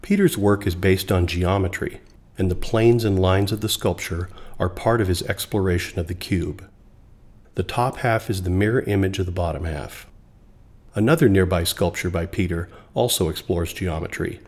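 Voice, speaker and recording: Male narrator, very deep voice, steady scripted read. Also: normal speed. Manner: even, informative reading.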